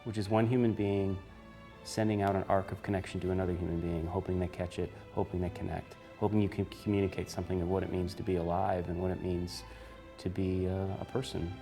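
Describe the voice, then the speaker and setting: A man with a notably deep voice reflects in an interview. His phrasing is measured and articulate, and music runs faintly behind him. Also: flat delivery, warm tone. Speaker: video game interviewee.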